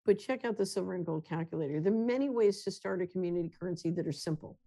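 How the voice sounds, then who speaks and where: A woman with a low, mellow voice talks through her ideas on a remote call. She speaks in a measured, explanatory way, often restarting a phrase before carrying on. The tone is warm and relaxed rather than bright. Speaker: guest, runs a financial company. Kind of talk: conversational interview.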